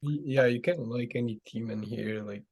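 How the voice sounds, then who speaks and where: A man's voice: mid-range voice, moderate pace, a little mellow, breathy edge. A man in a casual football chat, talking hesitantly with many fillers.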